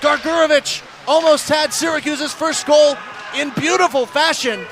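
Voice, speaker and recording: A man's voice: very high-pitched, normal speed, a bit bright, some chatter behind, slight background noise. A man calling live ice hockey on the radio as play-by-play announcer, in flowing descriptive calls that build on big plays.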